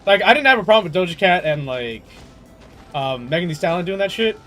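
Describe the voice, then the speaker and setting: A young man chatting casually on a game livestream in an animated, slangy way, swearing freely. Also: sits high, fairly quick, faint music behind. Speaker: game streamer.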